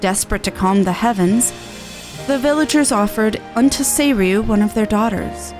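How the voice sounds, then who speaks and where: A woman voicing a courteous, formal storyteller lady who recites old legends in a measured, archaic manner for a fantasy game. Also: medium pitch, over music, lightly acted. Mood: solemn, reverent.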